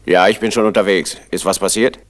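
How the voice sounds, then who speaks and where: An actor playing a detective's helpful, plain-spoken friend in a vintage radio crime drama. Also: male voice, deep voice, steady tone, brisk, lightly acted. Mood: matter-of-fact.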